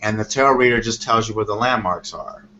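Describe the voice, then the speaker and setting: A man's voice: on the low side, fairly quick, bright, forceful tone. A man calling in as a guest tarot reader, explaining things earnestly in casual conversation. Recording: dull, noisy internet call.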